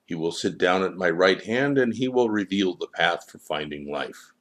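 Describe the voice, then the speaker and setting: A patient, authoritative God from a storybook, read by a man. The voice is low and solemn, with measured delivery. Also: lively intonation, a bit bright.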